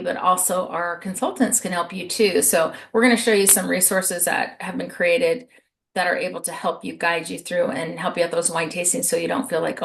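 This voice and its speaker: A woman's voice: fairly low pitch, gravelly, a bit bright. A woman hosting a video call, giving an upbeat, persuasive sales presentation. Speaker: host.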